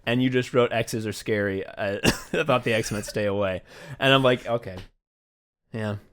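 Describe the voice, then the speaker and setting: A man's voice: on the low side, moderate pace, a bit bright. A man chatting casually as a podcast host, rambling and swearing as he retells things and quotes himself. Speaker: podcast host and audio drama writer. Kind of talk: casual behind-the-scenes podcast chat.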